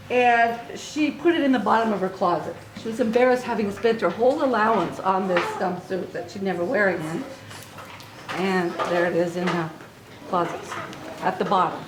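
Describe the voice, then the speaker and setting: A woman reading a story aloud to young children in an expressive way, with little asides to her listeners. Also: mid-range voice. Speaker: children's author reading own book aloud. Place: book signing event in an echoey room. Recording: echoey room recording with clear noise.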